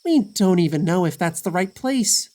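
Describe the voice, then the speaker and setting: A woman playing a grumpy, guarded, sarcastic young traveler in an audio drama, deadpan and curt. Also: on the low side, normal speed, lightly acted. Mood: wary.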